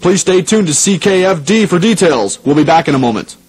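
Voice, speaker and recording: A man playing a 1980s radio station host, speaking in a measured, formal announcer style. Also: on the high side, lively intonation, breathy edge. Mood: serious, urgent. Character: 1980s male radio DJ hosting oldies, turning newsreader. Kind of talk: radio DJ and news bulletin announcing. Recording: noisy radio broadcast recording.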